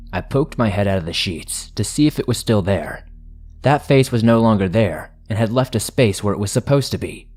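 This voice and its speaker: A man narrating listener-submitted horror stories in the first person, in a steady, measured reading. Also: on the low side.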